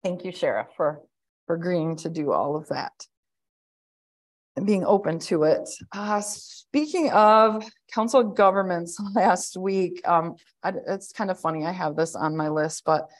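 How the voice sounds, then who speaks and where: A woman in her thirties with a loud voice, giving an administrator's report at a county board work session over a video call, talking conversationally with many fillers and restarts. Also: lowish voice, a little slow. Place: indoor office, joining a hybrid meeting. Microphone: webcam on a video call.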